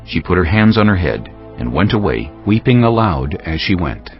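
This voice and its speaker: A man's voice: low-pitched, sing-song, moderate pace. A man solemnly narrating a dramatized scripture story from a script. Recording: slightly dull audio with music bed.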